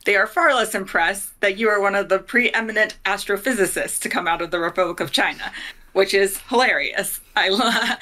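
A woman's voice: mid-range voice, sharp tone. A woman in her thirties, a guest player chatting casually on a video call from a home office. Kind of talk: casual chat.

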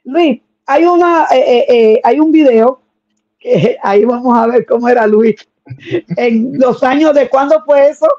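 Woman, middle-aged, pastor host, high voice, enthusiastic exclamations, dull video call audio. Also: unhurried, dark tone. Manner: enthusiastic, frequent exclamations of praise. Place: home room with flags and pictures.

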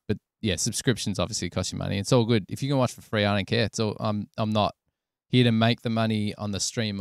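Smooth, low-voiced Australian streamer guy. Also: no room sound, studio quality. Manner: joking, slangy, rambling. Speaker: live streamer, sound editor. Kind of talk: casual livestream chat. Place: small indoor studio. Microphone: close desk microphone.

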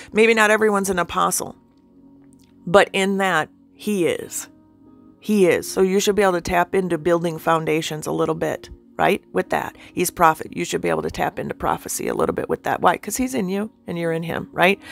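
A woman with a nasal, sinusy voice, pitched a little low and full of vocal fry, teaching about faith. She talks earnestly and keeps checking in with her listener. Soft music plays faintly underneath. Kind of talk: informal spiritual teaching monologue.

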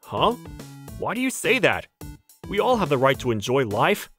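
A protective, easygoing wolf-eared young man from an anime acted by a woman. The voice is low with lively swings, encouraging and reassuring, over faint music. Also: moderate pace. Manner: reassuring, laid-back, encouraging. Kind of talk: anime manga dub acting.

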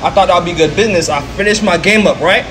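A young man in casual prank-vlog chat with restaurant staff, pleading and animated, often saying "bro", recorded on a handheld camera in a fast food restaurant. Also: high voice. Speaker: prank vlogger.

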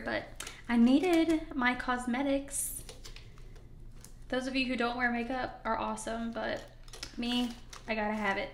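A woman's voice: fairly high pitch, echoey room. A woman with a slightly scratchy voice, chatting casually and upbeat as she counts cash at a table for her budgeting channel. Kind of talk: casual talk to camera while counting cash. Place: indoors at a wooden table.

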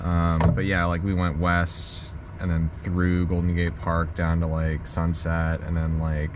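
A man's voice: deep voice, monotone, measured pace. A man chatting casually and loosely as a passenger in a moving car. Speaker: passenger, guest commentator. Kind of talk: casual chat. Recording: loud car cabin and road noise.

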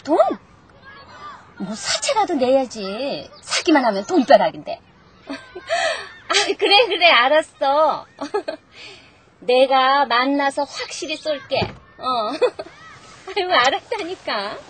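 Casting: snobbish, excitable mother, middle-aged, high and swooping, unhurried, TV drama. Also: slight background noise, heavily acted. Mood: gleeful, scheming. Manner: theatrical, exclamatory. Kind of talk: television children's drama acting.

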